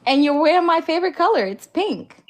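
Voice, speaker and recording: A woman's voice: extremely high pitch, lively intonation, a little fast. A woman hosting a livestream, chatting casually with lots of "like" fillers. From Alabama, US. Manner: chatty, filler-heavy with frequent "like". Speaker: livestream host. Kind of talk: casual chat.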